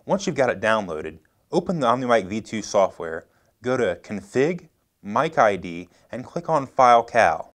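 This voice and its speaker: A man's voice: fairly low pitch, big pitch swings, normal speed, fairly bright tone. An American man in his thirties presenting a scripted product demonstration.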